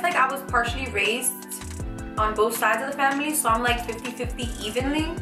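Young female vlogger, upbeat, rambling, slightly high, echoey room over background music. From United States. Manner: chatty, animated, lots of fillers and restarts. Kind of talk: casual Q&A vlog. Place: small bedroom with shelves, indoors.

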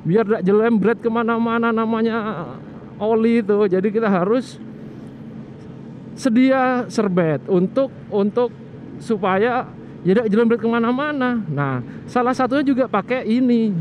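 A man with a high voice talks through a scooter tip in a loose, rambling way, often stopping to restart a phrase. He is recorded on an action camera outdoors, so the sound is muffled and dull, with faint traffic behind him. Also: normal speed. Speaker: motovlogger. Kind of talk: casual how-to vlog chat. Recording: muffled action-cam audio with street noise.

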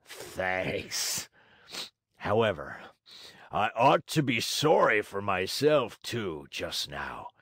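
A man voicing a huge, gruff, bearded puppet master with a hoarse voice, blustering but soft-hearted, in a storybook reading. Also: on the low side, dry recording, studio quality.

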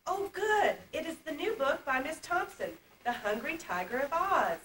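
A woman's voice: high voice, expressive, normal speed, a bit bright. A woman playing a sweet, earnest little girl in an amateur puppet show, speaking politely. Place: live puppet show at a convention. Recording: echoey, noisy camcorder from far off.

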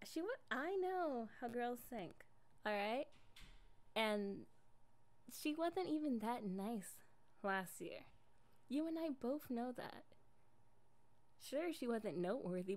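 A young woman playing an affectionate, jealous girlfriend in an improvised ASMR roleplay, casual and coaxing in an intimate way. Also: on the high side.

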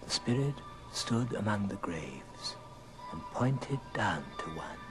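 A man's voice: fairly low pitch, very animated, slow, poor audio. A man narrating an old radio ghost drama as a grave storyteller, solemn and dramatic. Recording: old record with music underneath.

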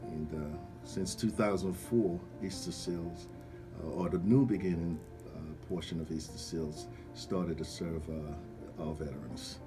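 A man answering questions as a radio interview guest, speaking in halting, hesitant phrases. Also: low voice, fairly even intonation, over music. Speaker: guest, veterans program representative. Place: small radio studio. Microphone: studio microphone.

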